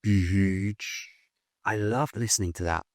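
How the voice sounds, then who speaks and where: A British man in his thirties talking in a casual interview, blunt and animated, swearing now and then. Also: deep voice, slow, no echo, studio quality. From Britain. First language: English. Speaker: guest.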